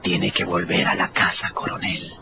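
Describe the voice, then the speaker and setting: An actor playing a cold, menacing invisible man in a radio drama, speaking in a breathy, whispery rasp with deliberate threat. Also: noisy background, lightly acted. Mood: threatening. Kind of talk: Spanish-language radio drama acting. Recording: dull sound with music underneath.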